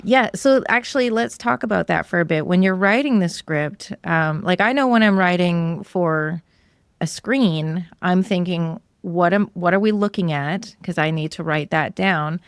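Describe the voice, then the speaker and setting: A woman's voice: fairly low pitch, unhurried, smooth, no echo, clean studio sound. A woman hosting a podcast, chatting casually as she interviews a guest.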